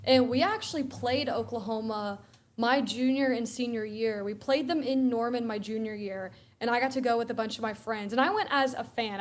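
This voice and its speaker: A young woman from Alabama chats about college football as a show guest. Her voice sits a little high, and she talks in a loose, chatty way, laughing often and acting out bits of conversation. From Alabama, USA. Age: young adult. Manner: chatty, laughs often, acts out quoted exchanges. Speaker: guest co-host. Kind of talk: casual sports chat.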